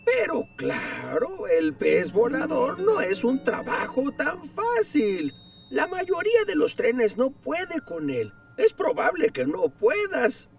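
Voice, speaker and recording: An actor voicing a friendly, steady male engine in a cartoon dub, speaking earnestly and mildly. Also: extremely high pitch, slow, vocal fry, far from the mic, soft background music, heavily acted.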